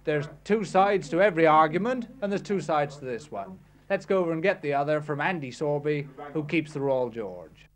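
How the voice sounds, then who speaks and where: A man reporting for an old 1960s newsreel, his voice sitting a touch high. He narrates and then asks brisk, probing questions. The film soundtrack has an aged sound. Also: expressive. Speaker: news reporter.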